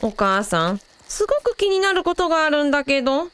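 Woman acting motherly character, high pitch, very animated intonation, gentle, caring, perceptive, radio drama. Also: a little fast. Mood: concerned.

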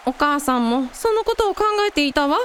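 A woman playing a brisk, protective member of an adventuring family in a radio drama. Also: high-pitched, lively intonation, a bit bright, a little hiss or hum. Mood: urgent.

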